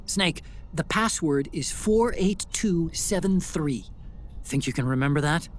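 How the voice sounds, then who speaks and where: An actor playing an earnest, excitable, chatty tech sidekick in a video game. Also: male voice, fairly high pitch, a little slow, slight background noise. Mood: teasing. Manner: chatty, animated, teasing. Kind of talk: video game cutscene acting.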